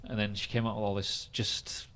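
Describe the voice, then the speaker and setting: A man's voice: lowish voice, little pitch movement, moderate pace, fairly bright tone. A man hosting a podcast, chatting casually about things in an informal, conversational way. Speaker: podcast host. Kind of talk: casual chat.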